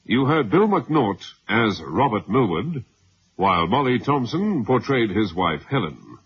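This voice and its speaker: A man's voice: on the low side, big pitch swings, measured pace, slightly rough. A man announcing a radio programme in a formal, scripted vintage style. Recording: dull, faintly noisy old radio recording.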